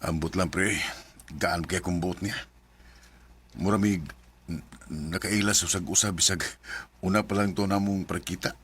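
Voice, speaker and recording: Brian, a hesitant married narrator. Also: male voice, sits low, steady tone, moderate pace, pauses often, fairly bright tone, husky. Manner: hesitant, stammering apologies. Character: Brian, a married man in his forties from Cebu who narrates his story. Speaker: narrator and lead. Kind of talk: radio drama acting.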